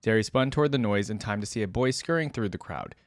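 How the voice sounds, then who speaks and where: Low-voiced man, casual and close. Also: animated, fast, no echo. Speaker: reaction video host and reader. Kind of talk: casual reaction commentary and story read-aloud.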